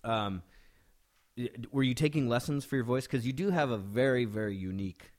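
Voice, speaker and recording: A man's voice: medium pitch, expressive, brisk, darkish tone. Man hosting a casual interview. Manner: friendly, jokey, restarts his questions. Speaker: interview host.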